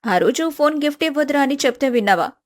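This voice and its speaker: A woman's voice: high-pitched, lively intonation, fast, no echo, studio quality. A woman voicing a bossy but caring young working woman who scolds and advises in a story. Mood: firm, advising.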